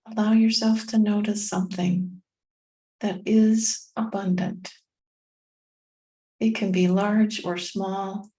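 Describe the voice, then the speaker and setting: A woman leading a guided meditation in a soothing, gentle manner, leaving long pauses between short phrases. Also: medium pitch, little pitch movement, poor audio. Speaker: meditation guide and tapping coach.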